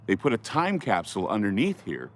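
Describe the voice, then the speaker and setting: A man's voice: fairly low pitch, wildly swooping pitch, brisk. A man giving informal explanatory commentary for a documentary.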